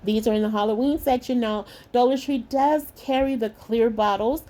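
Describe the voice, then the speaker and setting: A middle-aged woman hosting a craft channel, narrating a tutorial in a chatty way with a slightly hoarse voice and calling her viewers lovies. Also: on the high side, moderate pace. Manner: warm, chatty, calls viewers lovies and y'all.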